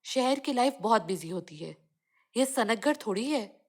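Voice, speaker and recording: A woman's voice: on the high side, animated, moderate pace. A woman voicing a breezy city aunt in a cartoon, explaining things to a child matter-of-factly.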